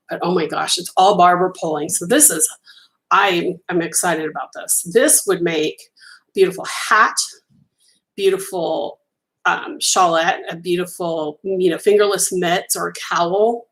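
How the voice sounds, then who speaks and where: A woman's voice: lowish voice, steady tone, unhurried, a bit bright, rough recording. Chatty middle-aged woman gushing over yarn. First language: Italian. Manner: chatty and enthusiastic, gushing over colors, many ums. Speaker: crochet designer hosting a live stream. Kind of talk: casual live-stream yarn unboxing chat.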